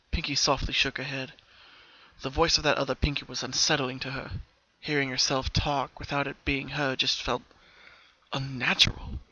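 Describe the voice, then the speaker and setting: A man's voice: medium pitch, pushed and bright, muffled recording. A man reading a scripted story aloud as its narrator, in a dramatic storytelling style.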